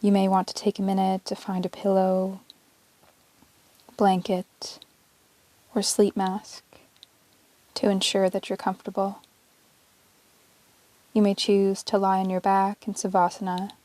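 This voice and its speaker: Slow, soothing woman guiding meditation. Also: medium pitch, no room sound, rough recording. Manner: calm, soothing, measured with long pauses. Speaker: yoga teacher guiding a meditation. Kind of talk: guided yoga nidra meditation, relaxation narration.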